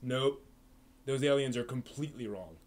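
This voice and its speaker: A man's voice: medium pitch, normal speed, darkish tone. A man hosting a live stream, chatting casually and answering questions from the chat, rambling with lots of fillers and restarts.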